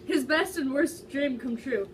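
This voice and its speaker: A woman's voice: high voice, measured pace. A woman giving a dramatic amateur reading of fan fiction in a casual way, now and then stumbling over words. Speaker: amateur reader. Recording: distant mic, faint background noise.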